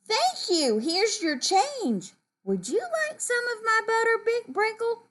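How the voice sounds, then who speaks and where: A woman's voice: very high voice, animated, takes it slowly, fairly bright tone, pitched above the performer's own voice, full character voice. An older woman voicing storybook characters with exaggerated, singsong replies. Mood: sweetly polite. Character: storybook characters trying out polite and rude replies.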